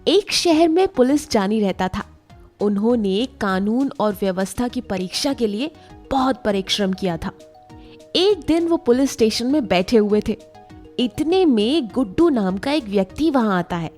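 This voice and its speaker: A woman narrates a cartoon tale in a slightly high, mellow voice. Light music plays underneath. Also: expressive, a little slow. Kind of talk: storybook narration.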